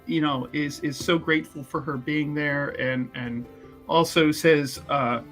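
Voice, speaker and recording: A man's voice: fairly high pitch, fairly even intonation, unhurried, fairly bright tone, faint music behind. A man casually playing a tabletop roleplaying game, describing his character's antics in a playful, theatrical way.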